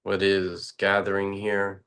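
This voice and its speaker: A man leading a guided meditation, speaking slowly and haltingly with long pauses and fillers. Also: clear, resonant tone. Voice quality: very low, flat voice. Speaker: meditation teacher.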